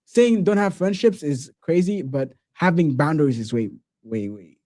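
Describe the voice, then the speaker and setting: A man hosting a podcast, chatting casually in a relaxed, slangy way and often saying "bro". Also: a little high, moderate pace, no room sound, studio quality. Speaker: podcast host.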